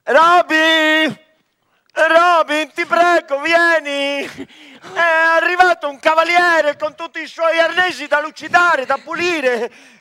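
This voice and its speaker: An actor playing a naive, gullible servant sidekick, full of questions, in a comic radio theatre play. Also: male voice, very high-pitched, steady tone, slow, bright, forceful tone, exaggerated voice. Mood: pleading. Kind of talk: comic stage acting in a radio theatre broadcast.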